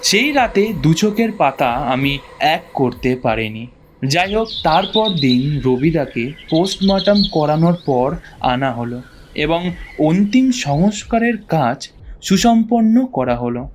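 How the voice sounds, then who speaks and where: A man's voice: a little high, sing-song, unhurried, a little hiss or hum. A man narrating a first-person ghost story from a script in a suspenseful, dramatic storytelling manner.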